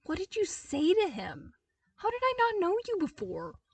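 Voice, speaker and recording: A woman's voice: very high-pitched, huge pitch swings, normal speed, far from the mic, voice pushed up, heavily acted. A woman voicing a haughty young male crown prince in a storybook reading, curt and imperious. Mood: eager.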